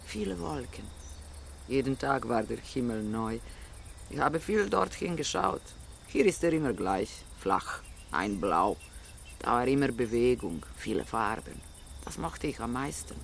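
A dreamy homeless man in a radio drama, kinda croaky, hunts for words, wistful. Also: medium pitch, lively intonation, a little hiss or hum. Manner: halting, gropes for words, dreamy. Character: homeless deported man in Rome, wistful, nostalgic for Germany.

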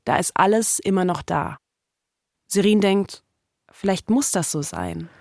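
A woman's voice: medium pitch, animated, unhurried, gravelly, a little mellow, no room sound, studio quality. A woman hosting a narrative podcast, reading scripted storytelling in a conversational way with short dramatic sentences. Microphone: close studio microphone.

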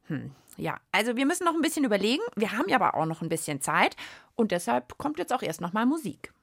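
Woman host, kids' radio, lively pitch, dry studio sound, giggly. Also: fast talker, vocal fry, no room sound. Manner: giggly, cheerful, keeps things moving. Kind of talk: playful chat with a co-host.

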